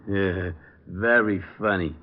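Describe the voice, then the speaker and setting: An American man acting a slow-witted, slangy police sergeant in an old radio comedy-crime drama. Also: deep voice, steady tone. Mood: surly. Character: dim, surly male police sergeant. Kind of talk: 1940s-50s American radio detective acting. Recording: dull, noisy old radio recording.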